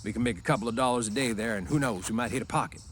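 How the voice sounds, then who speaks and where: A man doing a gruff, bossy ranch hand. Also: mid-range voice, very fast, fairly bright tone, slight background noise, close to an everyday voice. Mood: grudging. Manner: brusque, scolding, slangy rural speech.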